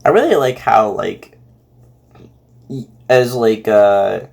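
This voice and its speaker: A man giving casual, unscripted commentary as a host, speaking in a halting, rambling way with many repeated words. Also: fairly low pitch, lively intonation, gravelly, a little mellow, soft background music. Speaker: host reacting to a fan fiction audio reading.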